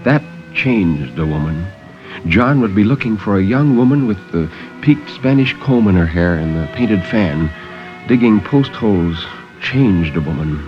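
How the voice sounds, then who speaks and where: Deep, expressive male radio narrator. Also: measured pace, soft background music. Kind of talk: 1950s radio dramatic story reading. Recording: dull vintage 1950s radio recording.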